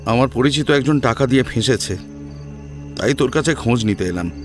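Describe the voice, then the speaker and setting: A man voicing a caring, earnest young student in an audio drama, gentle and conversational. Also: mid-range voice, lively intonation, brisk, soft background music, lightly acted. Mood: guarded.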